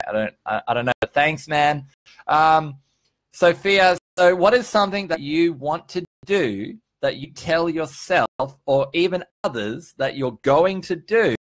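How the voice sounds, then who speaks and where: A man's voice: fairly high pitch, expressive, a little slow, dry recording. Informal, earnest young man on a livestream. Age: early 30s. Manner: informal, sweary, earnest and emphatic. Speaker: vlogger hosting a live stream. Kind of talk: casual motivational livestream chat, answering viewers.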